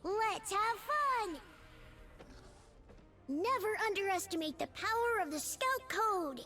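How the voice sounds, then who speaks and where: Very high-pitched chipper little scout creature. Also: female voice, animated, slow, over loud music, full character voice. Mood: chipper, earnest. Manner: earnest, perky, reciting rules like a proud scout. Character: small chipper male yordle scout leader, earnest, naive, adventurous. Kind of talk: video game champion voice lines.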